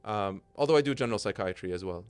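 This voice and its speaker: A man's voice: on the low side, expressive, quick pace, smooth, faint music behind. A middle-aged man with an American accent, a psychiatrist explaining his career as a talk show guest in an articulate, flowing way. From United States.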